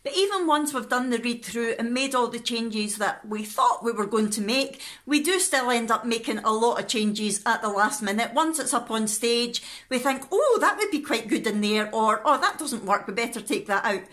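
Bright, high-voiced Scottish woman vlogging. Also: lively intonation, normal speed, few pauses. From Scotland. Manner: chatty, informal. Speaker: vlogger and pantomime scriptwriter. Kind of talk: casual vlog talk to camera. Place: living room at home. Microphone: phone at arm's length.

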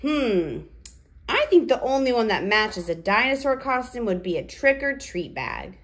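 A woman hosting a read-aloud for toddlers, speaking in a deliberate, sing-song way with simple phrasing. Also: fairly high pitch, sing-song, a bit bright. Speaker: children's read-aloud host.

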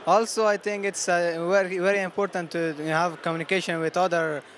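A man's voice: high-pitched, little pitch movement, brisk, fairly bright tone. A teenage male student with an Afghan accent giving interview answers in hesitant non-native English. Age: teens. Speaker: student competition participant. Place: busy exhibition booth indoors. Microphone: handheld interviewer microphone. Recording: noisy event hall background.

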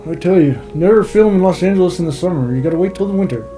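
A man's voice: sits high, lively intonation, fast talker. A man who directs films, giving behind-the-scenes commentary with dry, wry asides. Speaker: film director. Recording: faint music underneath.

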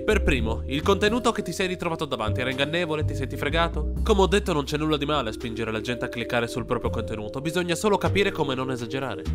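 Male narrator, higher-pitched voice, lively intonation, wry informal explainer style, music underneath. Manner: wry, informal, with casual swearing. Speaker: video narrator, dubber. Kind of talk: scripted explainer narration for an animated video.

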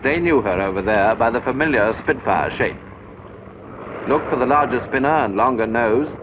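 A man reading scripted narration for a 1940s military training film in a measured, instructive way. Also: medium pitch. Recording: dull noisy 1940s film soundtrack.

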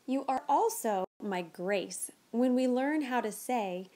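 A woman's voice: fairly high pitch, slow, slight background noise. A woman in her thirties hosting a children's read-aloud, speaking expressively to young kids as she presents and reads picture books. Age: 30s. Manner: animated, exaggerated expression for kids.

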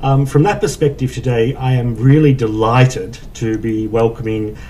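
An older man hosts a roundtable interview in a calm, measured, courteous way, with frequent ums. His voice sits at an average pitch. He is picked up from a little way off by a desk mic, with a faint hiss behind him. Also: distant mic. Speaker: host. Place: office meeting room, indoors. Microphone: desk microphone from a distance.

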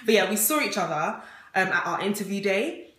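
A woman's voice: mid-range voice, lively intonation, a little fast, a bit bright. Chatty young woman in an echoey room. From Ghana. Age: 20s. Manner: talkative, chatty, laughs often. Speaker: co-host. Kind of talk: casual chat to camera. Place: indoor room with plain wall. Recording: roomy echo.

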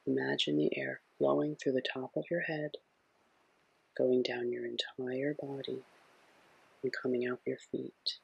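A man leads a guided meditation at a very unhurried pace. His mid-pitched voice is breathy and husky, with a creaky edge. He gives each gentle instruction in a hushed, soothing manner. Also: flat delivery, no room sound, muffled recording.

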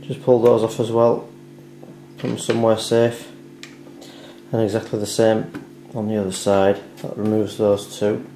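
A man's voice: fairly low pitch, monotone, measured pace, pauses often, dark tone. A man narrating a hands-on repair tutorial step by step, explaining carefully and without hurry. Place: indoors at a dining table in a home. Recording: roomy echo, background hiss, handling clicks.

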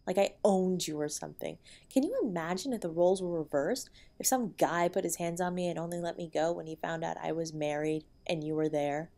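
Woman doing a tender wife comforting her husband in roleplay audio, lowish creaky voice, affectionate and soothing. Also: brisk, few pauses, mellow, lightly acted. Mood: indignant. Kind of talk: intimate roleplay audio acting.

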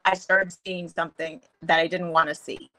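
A middle-aged woman with a deeper voice for a woman, chatting casually as an interview guest over a video call, with many fillers and restarts. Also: flat delivery, moderate pace, sharp tone, poor audio. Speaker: guest, photographer and songwriter. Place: home room, video call.

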